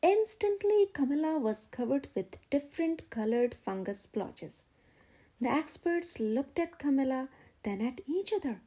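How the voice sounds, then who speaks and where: A woman reading a children's picture book aloud as an expressive, dramatic storyteller. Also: high voice, unhurried.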